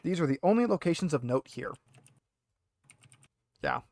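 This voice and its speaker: A man's voice: a little high, very animated, moderate pace, a little mellow, dry recording, studio quality. A man acting as game master, casually narrating a tabletop roleplaying scene with conversational asides.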